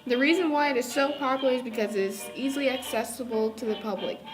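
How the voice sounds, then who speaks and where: A woman's voice: sits high, fast talker, rough recording. A young woman reading scripted newsreel narration as a student presenter. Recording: music playing under the voice. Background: clearly audible music.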